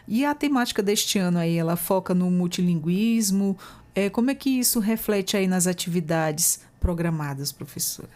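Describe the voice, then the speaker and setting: A woman's voice: fairly low pitch, lively intonation, normal speed, darkish tone. A woman with a Brazilian Portuguese accent hosting an interview podcast, asking questions and chiming in with short agreements. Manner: affirming, frequent short agreements.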